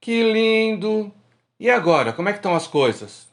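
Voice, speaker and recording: An actor playing a teasing, sardonic old friend with a Brazilian accent in a radio drama dialogue. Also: male voice, high voice, sing-song, normal speed.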